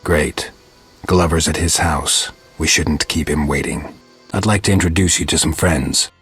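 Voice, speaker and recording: Game hero, male: a blunt, dry monster hunter, extremely low and heavily rasping. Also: measured pace, soft background music, full character voice. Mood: dry, terse. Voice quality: raspy, gravelly growl. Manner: terse, deadpan, blunt. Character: gruff, dry, weary monster hunter, adult man. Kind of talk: video game voice acting.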